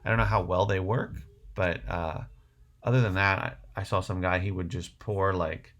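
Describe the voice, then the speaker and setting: A man's voice: low-pitched, steady tone, moderate pace, a bit bright, lo-fi sound, a little hiss or hum. A man hosting a casual livestream, answering viewer questions in a rambling way, thinking aloud as he goes. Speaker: livestream host.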